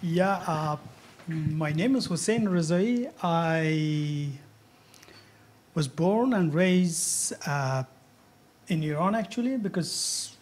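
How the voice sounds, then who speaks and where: A man in his thirties from Afghanistan, speaking English as a with many pauses and fillers, interviewed on stage about his cartoons. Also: on the high side, animated, a little hiss or hum. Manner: hesitant non-native English with many fillers. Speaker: cartoonist guest. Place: event hall on stage. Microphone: handheld mic held loosely.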